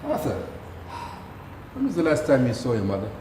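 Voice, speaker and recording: A man's voice: mid-range voice, huge pitch swings, moderate pace. An actor playing a stern, proud, wealthy father in a stage play, scolding his household with authority. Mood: stern, indignant. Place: theatre stage, recorded from a distance. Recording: echoey theatre hall from far off, faint noise.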